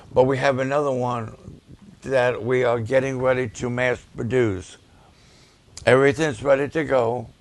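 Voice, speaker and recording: A man, a pastor, preaching and promoting his ministry's videos, with a voice that gets raspy at times, speaking with emphatic repetitions. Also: mid-range voice, dry recording. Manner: slow, deliberate, with emphatic repetitions.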